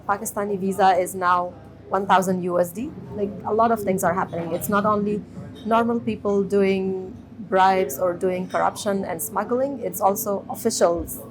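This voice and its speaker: A woman's voice: mid-range voice, fairly even intonation, low-quality recording. Afghan woman in her thirties, crowd behind. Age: 30s. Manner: measured, with frequent pauses and restarts. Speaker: interviewee. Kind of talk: personal testimony.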